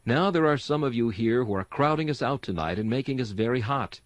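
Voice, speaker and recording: A man reads an old-fashioned sermon in an earnest, exhorting way. His pitch rises and falls expressively with the rhetoric, and the sound is dry and close, free of room echo. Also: animated, brisk. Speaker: sermon reader and host. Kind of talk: dramatic reading of a Victorian sermon.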